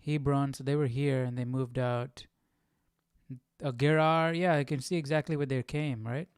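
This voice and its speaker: A man's voice: mid-range voice, steady tone, moderate pace, clear, resonant tone, no room sound, studio quality. A man hosting an informal Bible study livestream, chatting casually and thinking aloud as he goes.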